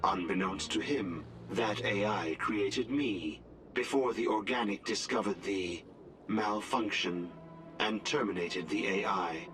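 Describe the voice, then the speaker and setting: A man voicing a rogue artificial intelligence in a video game, cold, formal, measured and defiant. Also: lowish voice, fairly bright tone, faint music behind. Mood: cold, factual.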